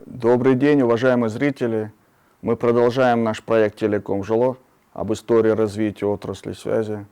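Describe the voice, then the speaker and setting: A man's voice: on the low side, flat delivery, normal speed, dark tone, faint music behind. A man hosting an interview, speaking in a formal, announcer-like way. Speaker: interview host.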